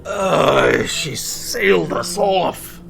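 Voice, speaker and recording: A man's voice: high voice, lively intonation, drawn-out words, gravelly, a crowd in the background, exaggerated voice. A man playing a bumbling, defensive but loyal friend in an audio drama, wry and earnest in delivery. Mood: bewildered.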